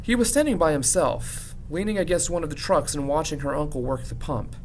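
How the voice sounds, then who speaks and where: A man reading a children's novel aloud like a teacher narrating to students, with low rumble behind him. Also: lively intonation, brisk, darkish tone. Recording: clear background rumble noise.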